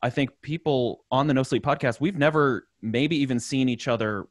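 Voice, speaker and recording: A man's voice: mid-range voice, moderate pace, dry recording, clean studio sound. A man chatting casually and jokingly among fellow podcast voice actors. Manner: joking, playful banter. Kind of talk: casual group chat.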